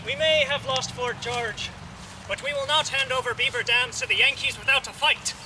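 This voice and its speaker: A man's voice: extremely high pitch, very animated, normal speed, full character voice. A man playing a brusque, self-important military man in an outdoor historical play, declaiming curtly. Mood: proud, declaiming. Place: outdoors in a park. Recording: distant mic, clear outdoor noise.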